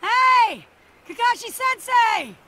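High, brash teenage ninja boy. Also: expressive, slow, full character voice. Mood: impatient, excited. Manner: loud, eager exclamations. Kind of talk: English anime dub acting. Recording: music behind the voice.

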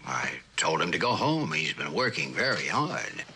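An actor playing a guarded, dryly sardonic scientist with an American accent in a mid-century television drama, speaking in a measured, hesitant way. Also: male voice, sits low, big pitch swings, pushed and bright, full character voice. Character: guarded, dry-witted male scientist running a weapons project. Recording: noisy old television soundtrack.

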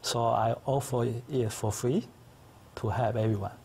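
A middle-aged man whose first language is Chinese, speaking as a guest in a TV studio interview with earnest, simple phrasing and thoughtful pauses. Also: medium pitch, steady tone, darkish tone, slight background noise. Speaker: interview guest, tai chi teacher. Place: local TV studio set.

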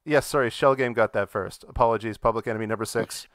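A man hosting a casual live-stream chat, talking in a halting, distracted way. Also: lowish voice, steady tone. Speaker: podcast host running the stream.

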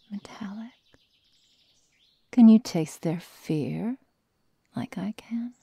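A woman voicing a sadistic, predatory young werewolf girl in an ASMR roleplay, whispering and murmuring with slow, taunting menace. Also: low voice, mellow, full character voice. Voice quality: breathy, husky whisper with gravelly murmurs.

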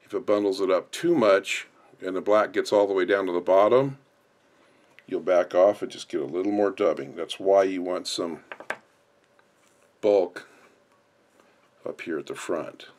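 A man with a low-pitched voice talks through a practical task as an instructor. He explains each step as he goes, in unscripted tutorial narration. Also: unhurried, long pauses, darkish tone.

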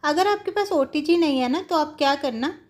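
A woman's voice: sits high, lively intonation, moderate pace. A woman giving casual, step-by-step cooking instructions, explaining things directly to viewers. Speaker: home cook giving a recipe tutorial.

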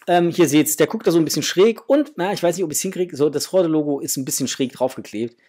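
Guy in his 40s doing an unboxing vlog, bit raspy, chatty and keen, talking to viewers like mates. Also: fairly high pitch, fast talker, warm tone. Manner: enthusiastic, addresses viewers as friends. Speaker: toy unboxing vlogger. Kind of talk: casual chat, enthusiastic product unboxing. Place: indoors, small room with framed posters.

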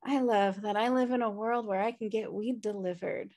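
A woman's voice: medium pitch, normal speed, darkish tone, natural voice. An American woman playing a lazy, flippant slacker sister in a comedy table read, with a casual, dismissive way of talking. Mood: breezy. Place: indoor room, video call.

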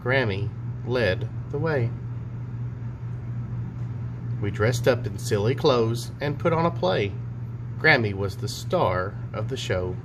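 A man's voice: mid-range voice, expressive, a little slow. A man in his forties talking and reading a storybook to young children in simple, child-directed phrasing, like a teacher. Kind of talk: read-aloud storybook and chat for children. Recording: clear background hum and noise.

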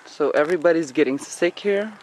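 A young man with a high-pitched voice explores a derelict building at night. He swings between excited exclamations and hushed whispers, swearing often. Steady noise sits under his voice on the camera audio. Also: brisk. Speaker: paranormal explorer. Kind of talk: casual night exploration chat. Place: dark abandoned building at night. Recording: noisy handheld camera audio.

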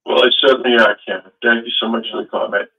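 A man's voice: mid-range voice, fairly even intonation, fast, sharp tone. A man hosting a call-in show, talking in a rambling, questioning way with many fillers. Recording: phone-like line.

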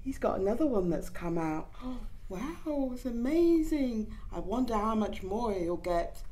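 A middle-aged woman reading a picture book aloud to young children, expressive and gently teacherly, putting questions to them. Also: on the high side, measured pace. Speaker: children's story time reader. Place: indoors in front of a decorated backdrop.

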